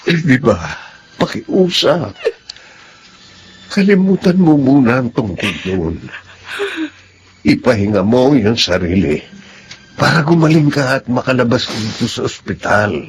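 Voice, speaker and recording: An actor playing a stern but caring, devout Filipino uncle in a radio melodrama, speaking earnestly. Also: male voice, mid-range voice, expressive, slight background noise, natural voice. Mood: consoling, earnest. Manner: earnest, measured counsel.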